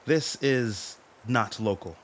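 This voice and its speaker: An actor playing a dutiful military major reporting to a superior in a radio play, formal, clipped and serious. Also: male voice, mid-range voice, slow, a little hiss or hum, natural voice. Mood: guarded.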